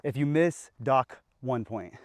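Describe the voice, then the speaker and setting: A man's voice: medium pitch, takes it slowly, very noisy. A young man hosting an archery vlog outdoors on public land, hyped and slangy, talking to the camera. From Pacific Northwest of the US. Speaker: vlogger. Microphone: action camera.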